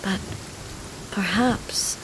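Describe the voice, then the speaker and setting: Solemn, regal warrior goddess, rain behind. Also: female voice, background noise. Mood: solemn, reflective. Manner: formal, measured, grave. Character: solemn, regal female warrior god and ruler. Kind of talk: scripted video game voice acting.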